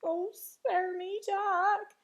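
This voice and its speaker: Woman putting on a screaming, terrified brutish giant, shrill. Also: level tone, drawn-out words, heavily acted. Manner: screaming, bellowing, pleading in broken grammar. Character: huge brutish male giant, terrified, begging in a nightmare.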